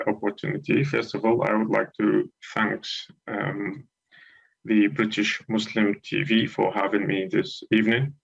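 A low-pitched man from Iraq speaks over a dull, lo-fi video call, his voice rough with gravel. He talks in a measured, hesitant way, pausing often on ums. He is a guest lecturer in his thirties joining a live studio show from a room at home.

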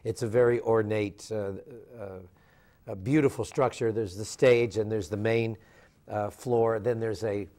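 An older man in his sixties with a fairly low voice hosts a local TV interview show. He asks his questions conversationally, hesitating with small fillers. He sits in a small studio set, heard through a lapel mic. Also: fairly even intonation, unhurried, dark tone. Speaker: TV talk show host.

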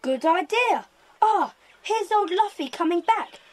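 Very high-voiced, plain-spoken boy. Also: lively intonation, measured pace. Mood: matter-of-fact. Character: cheerful matter-of-fact young boy adventurer. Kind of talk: children's audio drama acting.

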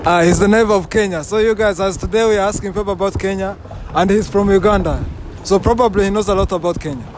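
High-voiced young man interviewing outdoors. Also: quick pace. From Rwanda. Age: young adult. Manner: animated, chatty questioning. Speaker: street interviewer. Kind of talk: casual street interview. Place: outdoors in a park and a city court. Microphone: phone held as mic. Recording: outdoor street noise.

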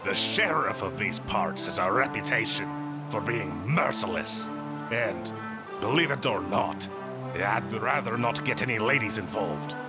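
A man's voice: fairly low pitch, expressive, measured pace, loud music behind the voice. A man playing a cocky, flirtatious pirate captain with a swaggering, laughing manner. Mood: commanding. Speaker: audio drama voice actor. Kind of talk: audio roleplay performance.

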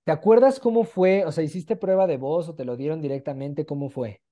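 A young man with a Mexican accent hosts a casual online interview. His tone is mellow and relaxed, his manner chatty and enthusiastic, picked up on a video call. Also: on the high side, fairly quick. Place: small room at home, on a video call.

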